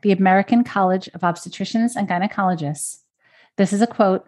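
A woman hosting a podcast, explaining research and repeating key points for emphasis. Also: medium pitch. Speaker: podcast host.

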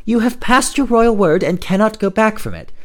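A man voicing a young captive princess, frightened and pleading, in a high falsetto. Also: expressive, normal speed.

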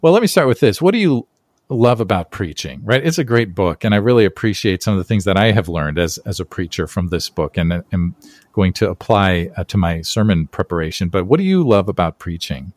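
A man's voice: low voice, animated, a little fast, a little mellow, no room sound, studio quality. A man hosting a podcast interview, chatty and friendly, with frequent fillers. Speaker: podcast host. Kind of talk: casual interview chat.

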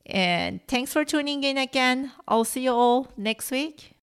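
A woman whose first language is Farsi, hosting a solo coaching podcast in an earnest, measured teaching tone with soft whispered stresses. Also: sits high, lively intonation, clean tone, faint music behind. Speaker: life coach and podcast host.

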